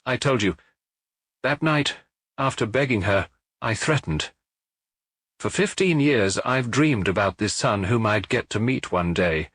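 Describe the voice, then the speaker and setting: A man voicing a broken middle-aged man who confesses in a halting, pleading way in a detective audiobook. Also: on the low side, lively intonation, fairly bright tone, no echo. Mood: anguished.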